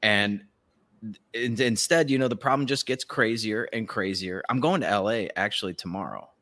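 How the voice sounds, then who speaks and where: Low-voiced man, profane and sardonic. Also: moderate pace, a bit bright. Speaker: podcast co-host. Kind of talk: casual political commentary.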